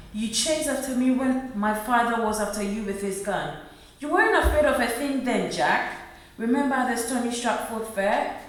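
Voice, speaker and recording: A woman playing a worried, poor wife and mother in a radio play, earnest and reproachful. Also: fairly high pitch. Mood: tender, pained. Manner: earnest, reproachful, repeating her husband's name. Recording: very echoey room.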